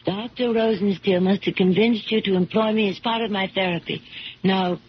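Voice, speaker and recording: An actress playing an earnest, self-doubting woman accused of theft, halting and pleading, in a 1970s radio drama. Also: medium pitch, steady tone, natural voice. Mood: confused, resigned. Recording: dull, noisy old radio recording.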